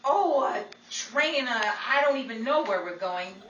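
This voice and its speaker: A woman's voice: sits high, expressive, a little slow, highs cut off. A woman playing a skittish, wisecracking Hollywood actress turned amateur sleuth in a 1940s-style radio comedy mystery, with playful, theatrical delivery. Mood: wry, exasperated. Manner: playful, theatrical quipping with gasps and exclamations. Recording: roomy, distant live recording, noisy crowd behind.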